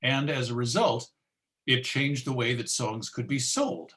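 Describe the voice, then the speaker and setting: An American man presenting an informal history lecture, conversational, with deliberate emphasis. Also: medium pitch, a bit bright.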